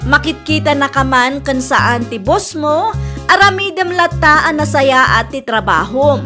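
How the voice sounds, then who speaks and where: A woman's voice: high-pitched, animated, measured pace. A woman with an Ilocano Filipino accent delivering scripted narration as the narrator of a radio drama. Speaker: show narrator. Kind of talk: scripted moral and sign-off narration. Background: music.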